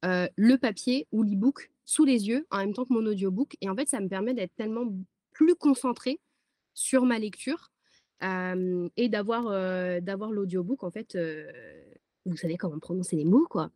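A woman co-hosting a casual book podcast, recorded remotely, talking in a slangy, animated way with many fillers. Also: medium pitch, normal speed, no echo, studio quality. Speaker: podcast co-host. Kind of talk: casual chat.

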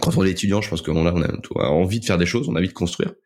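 A young man with a low-pitched voice speaks as a guest in a casual interview. He tells his story in a hesitant way, often repeating words as he looks for the next one. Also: very fast, darkish tone. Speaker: interview guest, co-founder of a digital media outlet.

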